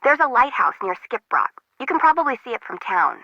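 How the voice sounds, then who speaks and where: A woman's voice: on the high side, big pitch swings, a little fast, creaky, no room sound, muffled recording, exaggerated voice. A woman voicing a quirky, anxious young intern who works as a radio operator in a video game, with slangy, dry, jokey delivery. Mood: dry, chatty. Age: young adult. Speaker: harbor control tower operator.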